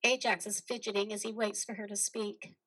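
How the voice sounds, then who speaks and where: A woman's voice: medium pitch, flat delivery, a little fast, sharp tone, rough recording. A woman narrating a play, reading the stage directions as scripted narration.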